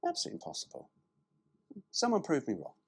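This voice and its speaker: A man presents in a mid-range voice with a husky edge. His intonation leaps about constantly as he moves between reading copy aloud and making irreverent asides. His manner is cheeky and informal, with some swearing. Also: fairly high pitch, huge pitch swings, quick pace. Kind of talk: reading a car brochure aloud with joking asides.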